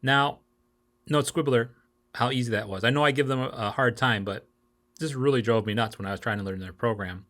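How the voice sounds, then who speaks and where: A man in his forties hosting an informal explainer tutorial, with a halting delivery that often stumbles and corrects itself. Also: medium pitch, a bit bright. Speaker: video tutorial host. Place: small home office with bookshelves.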